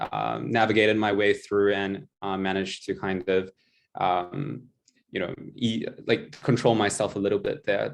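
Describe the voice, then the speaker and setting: A young man in his twenties with a New Zealand accent, giving a casual, self-mocking presentation over a video call with lots of ums. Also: on the low side. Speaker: guest presenter, tutor.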